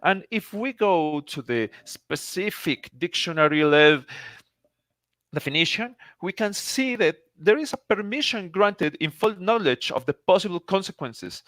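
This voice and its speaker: A man's voice: fairly high pitch, fairly bright tone, dry recording. Colombian man lecturing, dry close sound. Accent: Colombian Spanish. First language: Spanish. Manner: earnest, formal, halting with frequent restarts. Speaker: psychiatrist speaker. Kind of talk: conference lecture.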